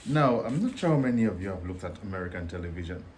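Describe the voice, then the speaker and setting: A man from Dominica with a Dominican accent, explaining in a relaxed, joking way as co-host of a casual vlog. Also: low-pitched, fast, slight background noise.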